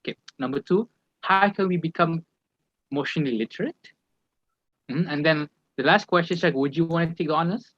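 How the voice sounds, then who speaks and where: A man's voice: a little high, fairly quick, a bit bright. A young man co-hosting a casual student podcast, easygoing and hesitant, with many fillers. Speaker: podcast co-host. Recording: dull, lo-fi dry sound.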